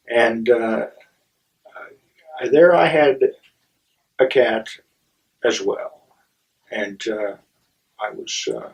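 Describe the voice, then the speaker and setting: A middle-aged or older man telling a personal story in a theatrical, wry way, with dramatic pauses and halting asides. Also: lowish voice, darkish tone. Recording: distant microphone.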